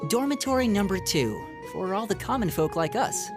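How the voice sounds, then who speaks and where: The modest classmate chatting on the walk to school in a game cutscene, lively intonation, music behind. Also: male voice, high-pitched, moderate pace. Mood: friendly, concerned. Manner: casual, chatty. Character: friendly, earnest, modest teenage student boy. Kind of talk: video game voice acting.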